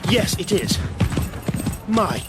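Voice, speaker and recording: Keen, clipped British officer, period radio play; lightish pitch, animated melody. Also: male voice, very slow, heavy background noise, full character voice. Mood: musing, observant. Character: eager, dutiful British army major on the battlefield. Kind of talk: period radio drama acting.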